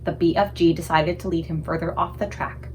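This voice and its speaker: A woman narrating a children's storybook aloud in an expressive, scripted read-aloud style. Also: fairly low pitch, fairly quick, a little hiss or hum.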